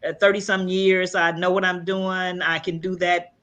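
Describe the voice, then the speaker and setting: A woman's voice: on the low side, little pitch movement, fairly bright tone, highs cut off. A woman in her sixties, a guest on a podcast, chatting casually from home over a video call with lots of fillers and restarts. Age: 60s. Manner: halting, many fillers and restarts. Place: home room.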